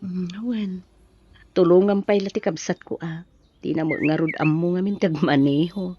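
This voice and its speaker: A woman's voice: fairly low pitch, normal speed, warm tone, lightly acted. A woman playing a reassuring married older sister in an Ilocano radio drama. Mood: persuading. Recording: dull sound, faint noise.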